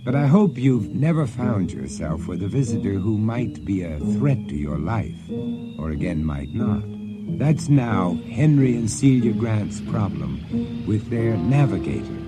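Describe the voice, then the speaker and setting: Male radio drama host, low voice, lively intonation, loud musical bed, scripted narration. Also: measured pace, over loud music.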